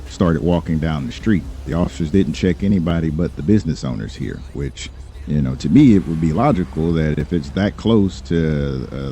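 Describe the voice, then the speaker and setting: A man playing a composed, sharp lawyer with a quiet crime-boss side in online roleplay, speaking in measured, formal phrases. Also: low voice, slight background noise. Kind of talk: improvised in-character online roleplay dialogue.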